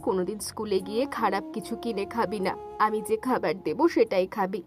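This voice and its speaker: A woman's voice: mid-range voice, moderate pace, close to an everyday voice. A woman playing a caring mother who soothes her young boy yet stays firm, in a cartoon folk tale. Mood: gently firm. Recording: music playing behind the voice.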